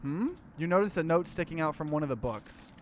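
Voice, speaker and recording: A man doing a let's play, reading game text aloud and breaking in with animated, bewildered reactions. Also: a little high, brisk, slight background noise.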